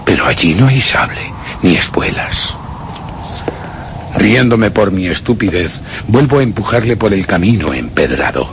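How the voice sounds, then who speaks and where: A man's voice: fairly low pitch, sing-song, measured pace, background noise. A man with a Castilian Spanish accent playing a scheming, brooding murderer who narrates theatrically in a radio suspense drama. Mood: brooding menace. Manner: theatrical, literary, ominous, dropping to whispers.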